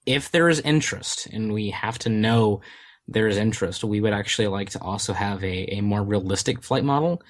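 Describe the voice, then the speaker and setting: A man with a lowish voice talks through his answers in a casual interview. He pauses often and stacks up fillers and restarts as he explains. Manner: hesitant, many fillers and restarts. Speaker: game studio community manager.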